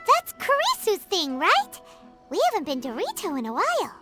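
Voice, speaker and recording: An actress voicing a tiny, chirpy female fairy companion with a high, squeaky voice and an excitable, curious manner, in game dialogue. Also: normal speed, full character voice. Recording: game audio with loud music behind.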